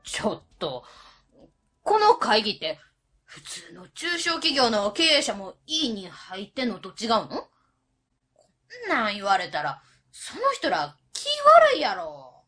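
Husky, blunt Kansai woman played by an actress. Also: on the high side, sing-song, measured pace, a bit bright, full character voice. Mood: critical, curious. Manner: blunt, teasing, questioning. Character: blunt, quick-to-quip Osaka woman at work. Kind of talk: radio drama acting, casual dialogue.

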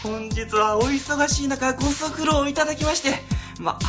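A man's voice: very high-pitched, normal speed, music in the background. A man playing a middle-aged civil servant boss in a comic radio drama, chatty, easily flustered and ingratiating. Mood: nervous, fawning.

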